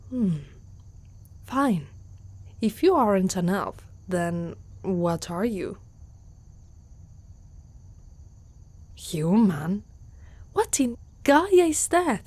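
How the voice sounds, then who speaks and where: Woman voicing a slow, breathy, proud centaur girl, Italian accent. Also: lowish voice, a little mellow, heavily acted. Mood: suspicious, haughty. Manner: haughty, slow, often dropping into whispers. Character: proud, guarded young female centaur warrior, gruffly protective. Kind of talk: ASMR roleplay.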